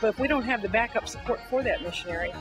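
A woman calmly explaining what third culture kids go through, in an interview for a ministry video. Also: on the high side, very fast. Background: music.

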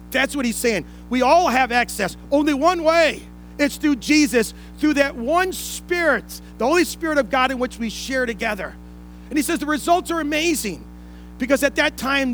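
High-pitched man preaching a sermon. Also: a bit bright, poor audio. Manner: repeats key phrases for emphasis; explanatory, with rhetorical questions. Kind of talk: sermon to a congregation. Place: indoor room, speaking to a congregation.

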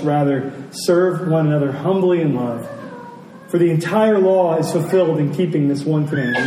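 A man's voice: a little high, warm tone. An American man giving a conversational sermon as a pastor, speaking reflectively and repeating phrases for emphasis. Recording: roomy, distant mic, faint noise.

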